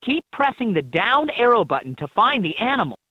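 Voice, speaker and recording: A woman with a husky voice reading scripted prompts as an encouraging guide in a children's game, on dull toy audio. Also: a little high, sing-song, fairly quick, no echo.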